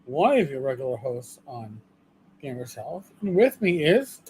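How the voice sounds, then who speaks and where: Slow-talking man in his fifties hosting. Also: medium pitch, big pitch swings, darkish tone, a little hiss or hum, rough recording. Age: 50s. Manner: rambling and self-deprecating, with halting restarts. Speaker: livestream co-host. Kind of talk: casual chat.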